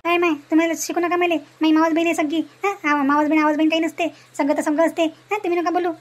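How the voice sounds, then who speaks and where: A woman's voice: very high voice, level tone, quick pace. A woman voicing a gossipy, indignant village woman in a comedy cartoon, scolding and chatty in a rural Varhadi Maharashtrian accent. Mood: indignant gossip. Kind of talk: animated comedy cartoon dialogue in rural dialect.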